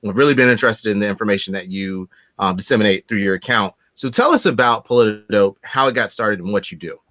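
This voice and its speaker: A man's voice: lowish voice, fast, a bit bright, no room sound. Joking man in his thirties hosting. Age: 30s. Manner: loose, joking, rambling with fillers. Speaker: podcast host. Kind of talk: casual interview chat.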